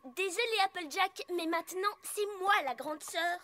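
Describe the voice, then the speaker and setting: A woman's voice: extremely high pitch, moderate pace, pushed and bright, a little hiss or hum. A woman voicing a plucky, stubborn little girl pony, a childlike younger sister in a French cartoon dub. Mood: cheeky.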